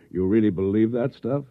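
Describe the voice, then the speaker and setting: An actor playing an anxious, suspicious husband who sounds edgy and incredulous, in an old-time radio drama style. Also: male voice, on the low side, moderate pace, lightly acted. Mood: weary, guarded. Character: anxious, suspicious office husband growing alarmed at reality. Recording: muffled, narrow-band vintage radio recording.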